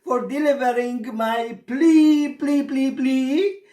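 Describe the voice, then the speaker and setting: A man's voice: very high voice, fairly even intonation, takes it slowly, warm tone, pitched above the performer's own voice. A man with an Iraqi Arabic accent voicing a clever caged female bird who longs for freedom, in theatrical, sing-song storytelling. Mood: joyful, triumphant. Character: clever caged female bird, pleading then joyfully defiant. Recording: echoey room.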